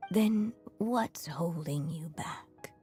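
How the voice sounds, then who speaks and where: A woman's voice: on the low side, extremely slow, warm tone, faint music behind. An actress playing a tender, solemn magical echo of a mother witch, speaking as a storyteller in a game. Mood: tender, resigned. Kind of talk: dramatic scripted game dialogue and storybook narration.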